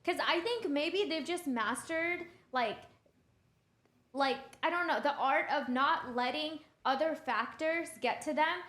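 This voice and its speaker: A woman's voice: high voice, unhurried, a bit bright, roomy sound. A woman in her late twenties, co-hosting a casual podcast, thinking aloud in a chatty way. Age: 20s. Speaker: podcast co-host. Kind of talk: casual chat.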